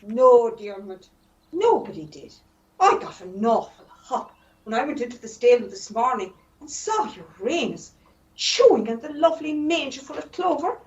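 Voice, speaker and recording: A wry Irish woman in a comic radio play, acted by a woman, heard at a distance in a roomy space. Her high voice is lively and unhurried, with a gleeful streak. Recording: muffled, roomy, distant microphone.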